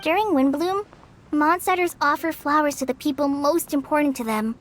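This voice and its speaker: A woman's voice: high voice, normal speed, close to an everyday voice. A woman playing a shy, grateful young girl apprentice in a video game, sweet and sincere. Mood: warm, sincere. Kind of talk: scripted game dialogue acting.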